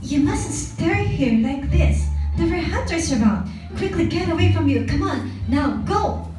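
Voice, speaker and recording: Woman as an anxious high-pitched goose, very echoey. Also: lively intonation, a little slow. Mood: urgent warning. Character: anxious goose warning a young duckling away. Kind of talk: children's puppet show acting. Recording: very echoey, distant mic, music behind.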